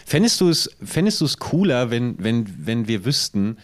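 A man hosts a podcast in casual comedic chat, telling stories in an animated, slangy way. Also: mid-range voice, moderate pace. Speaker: podcast co-host.

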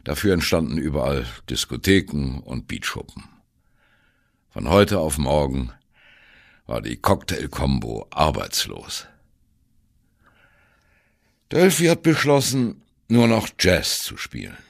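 A man's voice: extremely low pitch, very animated, slow, creaky, long pauses, exaggerated voice. An actor playing a wry, disillusioned ageing jazz musician who recalls his band years in a musing, conversational radio-play narration. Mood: wry reminiscing. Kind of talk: first-person reminiscing narration in a radio play.

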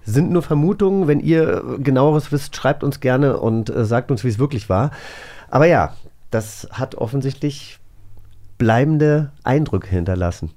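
A man's voice: medium pitch, expressive, moderate pace, mellow. A man hosting a podcast in casual, chatty moderated talk. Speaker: podcast host.

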